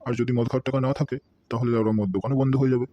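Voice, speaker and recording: A man's voice: medium pitch, steady tone, very fast, dark tone, lo-fi sound, natural voice. A man voicing a middle-aged drunkard beggar in a comedy cartoon, rambling and constantly swearing. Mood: grumbling. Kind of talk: amateur comedy cartoon voice-over. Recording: music behind the voice.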